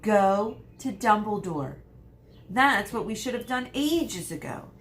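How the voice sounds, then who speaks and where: A woman's voice: mid-range voice, lively intonation, slow, a little hiss or hum, voice pushed up. A woman reading aloud voices a sensible eleven-year-old girl witch who speaks firmly. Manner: firm, no-nonsense.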